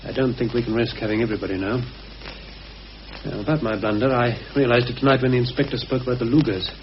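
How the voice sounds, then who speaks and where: An actor playing a cautious, anxious British conspirator in a radio play, speaking in a deliberate, hesitant, earnest way. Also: male voice, medium pitch, steady tone, natural voice. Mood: guarded, confiding. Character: anxious, cautious male conspirator confiding a grave suspicion. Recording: muffled, hissy old radio recording.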